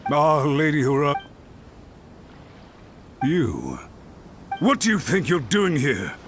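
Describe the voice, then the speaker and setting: An actor playing a stern, dutiful, high-strung young officer in a video game, formal and tense. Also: male voice, wildly swooping pitch, slow, exaggerated voice. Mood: agitated. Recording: music playing behind the voice.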